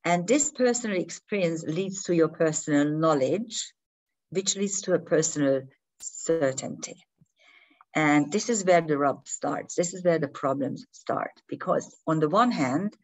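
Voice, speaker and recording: Low-voiced woman, hesitant non-native speaker. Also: darkish tone, low-quality recording. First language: not English. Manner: hesitant, restarting and searching for words. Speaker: spiritual teacher. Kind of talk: unscripted talk to viewers.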